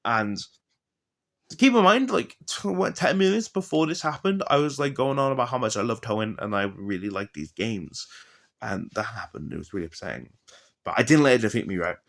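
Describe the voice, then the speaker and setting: A man's voice: mid-range voice, expressive, a little fast, gravelly, a bit bright. A young man rambling casually and with enthusiasm about his old video games, with lots of fillers and asides. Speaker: gaming vlogger.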